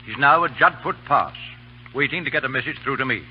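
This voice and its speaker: An actor playing a stern, curt older colonel with a crisp, commanding manner in an old radio drama. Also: male voice, medium pitch, expressive, fairly quick, natural voice. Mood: brisk, commanding. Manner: crisp, authoritative, brooks no argument. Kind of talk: 1930s-40s radio drama acting. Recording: dull, faintly noisy old radio recording.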